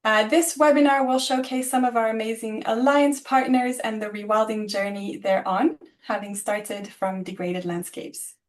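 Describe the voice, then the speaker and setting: A woman hosting an online webinar on a video call, introducing the speakers in a friendly, slightly halting way and laughing at her own slips. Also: a little high, fairly even intonation. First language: not German. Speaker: webinar host.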